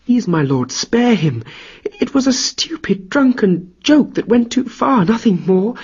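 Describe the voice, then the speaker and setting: Un homme qui joue une épouse divine suppliante. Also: male voice, very high-pitched, very animated, a little slow, a little mellow, slightly rough, dull audio, pitched above the performer's own voice, heavily acted. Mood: pleading. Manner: pleading, begging. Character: devoted pleading goddess wife.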